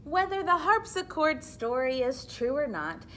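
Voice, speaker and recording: A woman reads a children's book aloud at an unhurried pace with a lively, moving pitch. She explains things simply as she goes, like a teacher. There is clear background noise on the recording. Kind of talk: read-aloud storytelling with explanatory asides for children.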